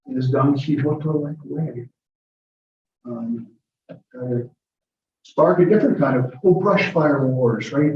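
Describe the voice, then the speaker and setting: A man's voice: on the high side, mellow. A man giving a lecture, answering audience questions in a chatty, digressive way with chuckles. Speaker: guest lecturer. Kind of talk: informal Q&A with audience. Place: lecture room. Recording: echoey, muffled room from a distance.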